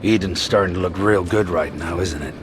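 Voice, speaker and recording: An actor playing a big, cautious, steady mutant leader in a video game, with a gruff voice and sober, measured delivery. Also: male voice, background noise, lightly acted. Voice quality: deep, gruff. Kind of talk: video game character acting. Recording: loud music under the voice.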